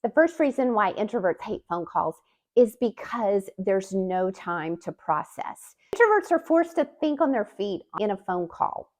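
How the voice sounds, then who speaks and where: A woman hosting her own channel as a communication coach, giving a scripted, expressive talking-to-camera explainer with emphatic rises in her delivery. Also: medium pitch, unhurried. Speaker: YouTube host and communication coach.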